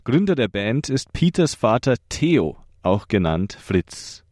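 A male radio presenter reading a scripted narration in a measured, even way. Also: mid-range voice, no echo, studio quality.

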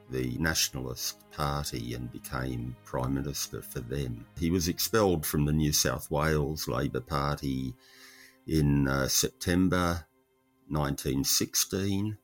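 Very deep, slow-spoken man. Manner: measured, thoughtful, pauses with fillers. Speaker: historical researcher interviewed. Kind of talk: explanatory interview answers.

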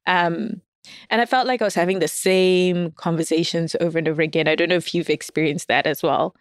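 A woman's voice: lowish voice, fairly even intonation, fairly quick, smooth, no room sound, studio quality. A woman guest in casual conversation, candid and self-deprecating, laughing often as she talks. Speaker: podcast guest. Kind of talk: casual dating chat.

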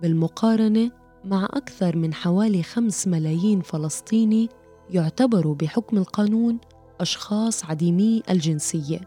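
A woman reading scripted documentary narration in a measured, formal way. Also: lowish voice, warm tone, soft background music.

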